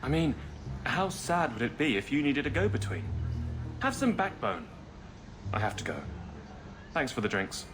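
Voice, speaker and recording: A man's voice: mid-range voice, very animated, moderate pace, pauses often, noisy background, close to an everyday voice. An actor playing a blunt, dry-witted man in a video game, with an exasperated edge. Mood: irritated, blunt.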